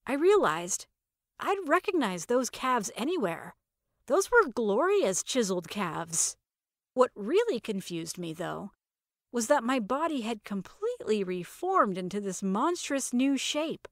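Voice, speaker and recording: A woman narrates first-person audio fiction with wry expressiveness. Her voice sits fairly high and moves in lively, animated swings, recorded dry and close. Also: unhurried, no room sound.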